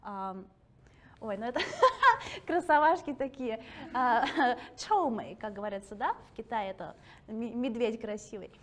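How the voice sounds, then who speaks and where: A woman's voice: fairly high pitch, lively intonation, unhurried. A woman in her thirties from Russia, talking casually and self-ironically to an audience in a library hall, laughing often. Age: 30s. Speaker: guest speaker.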